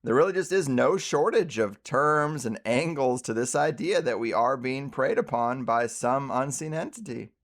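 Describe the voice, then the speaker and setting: A man's voice: mid-range voice, expressive, normal speed, few pauses. A man hosting a podcast interview, speaking conversationally and articulately in long, reflective turns. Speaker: podcast host.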